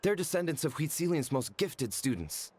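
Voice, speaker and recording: A man's voice: a little high, very animated, normal speed, a little mellow, a little hiss or hum. A man playing a meek, nervous, self-deprecating young tribesman in a video game. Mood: humble. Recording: faint music behind the voice.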